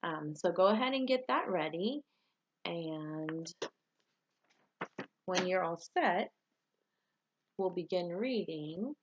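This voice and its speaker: A woman teacher reading a storybook aloud to pupils and giving them instructions in a clear way suited to children. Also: lowish voice, animated, takes it slowly, pauses often, lo-fi sound, a little hiss or hum.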